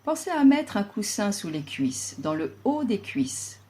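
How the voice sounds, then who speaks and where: Very slow, mellow woman guiding relaxation. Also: medium pitch. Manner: calm, soothing, deliberate instruction with long pauses. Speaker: yoga nidra instructor. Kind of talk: guided relaxation meditation.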